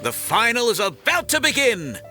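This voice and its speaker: An actor playing an excitable male tournament announcer in a video game, projecting in a showy way. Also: extremely high pitch, moderate pace, over music, exaggerated voice. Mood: showy, excited. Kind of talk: video game cutscene acting.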